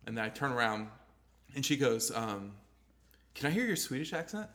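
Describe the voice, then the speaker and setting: A young man chatting on his own podcast, loose and rambling, dropping swear words and laughing easily. His voice sits at an ordinary middle pitch for a man. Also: big pitch swings, moderate pace, fairly bright tone. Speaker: podcast co-host. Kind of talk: casual chat.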